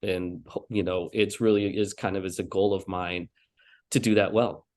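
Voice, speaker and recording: A man speaking as an interview guest in a relaxed, conversational way, sprinkling his talk with "you know" and short laughs. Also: sits low, normal speed.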